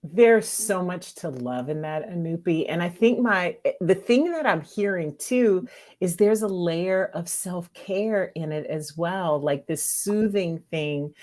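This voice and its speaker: A woman's voice: on the low side, lively intonation, takes it slowly, a little mellow. A woman hosting a podcast interview, asking reflective questions. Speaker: podcast host.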